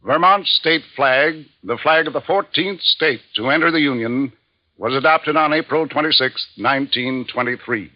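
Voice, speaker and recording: A man with an American accent reading scripted narration as a formal, measured mid-century radio announcer. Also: mid-range voice, no room sound. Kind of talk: 1950s scripted public-service narration. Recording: dull, dry vintage radio recording.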